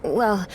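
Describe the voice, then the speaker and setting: A woman playing a proud, composed young noblewoman knight in a video game, speaking formally and with measured reserve. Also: medium pitch, a little mellow, slight background noise.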